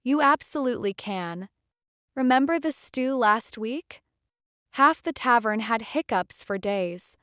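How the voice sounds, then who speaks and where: A woman's voice: on the high side, lively intonation, measured pace, fairly bright tone, clear, resonant tone, no room sound, clean studio sound. A woman playing a kind, sensible furred healer in a fantasy audio drama, caring and matter-of-fact. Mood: scolding.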